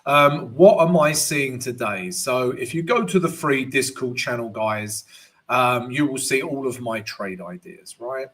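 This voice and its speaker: Croaky man hosting a trading stream. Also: medium pitch. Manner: chatty, addresses viewers as guys, frequent "right?" tags. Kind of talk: live-stream market analysis.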